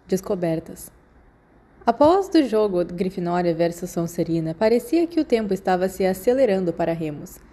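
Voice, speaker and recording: Audiobook narrator: Brazilian woman, mid-range voice, mellow delivery of scripted fiction. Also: mid-range voice. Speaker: podfic narrator.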